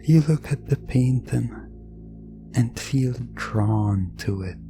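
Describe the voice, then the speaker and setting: A man delivering scripted hypnosis roleplay narration in an ASMR style, soothing and suggestive, with long pauses. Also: mid-range voice, music in the background.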